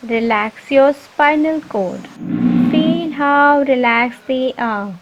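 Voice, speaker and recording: Very slow, higher-pitched woman guiding meditation. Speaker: meditation guide. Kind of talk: guided meditation, slow instructions. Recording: muffled lo-fi, noisy, birdsong behind. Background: birdsong and ambient nature sounds.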